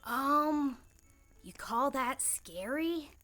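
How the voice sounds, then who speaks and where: A woman's voice: sits high, animated, drawn-out words, bright, forceful tone, soft background music, full character voice. A woman voicing a sarcastic, bossy girl camper in a light-hearted audio drama, dry and dismissive with a theatrical streak. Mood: scornful, exasperated.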